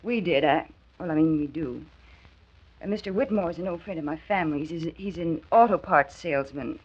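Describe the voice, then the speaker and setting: A woman's voice: low voice, a little fast, breathy edge. An actress playing an earnest, caring, slightly hesitant female assistant in a 1940s radio drama. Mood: worried. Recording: muffled old 1940s radio recording.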